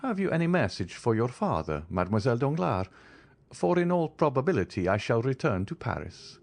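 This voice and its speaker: A man's voice: high-pitched, expressive, normal speed, darkish tone, pitched above the performer's own voice. A man voicing a young male fugitive who pleads desperately and later mocks with insolence. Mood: mocking.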